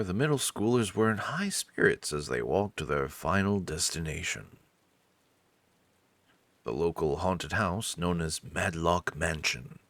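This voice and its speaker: A man's voice: sits low, fairly bright tone, no echo. A man narrating a visual novel as a storyteller, with casual commenting asides.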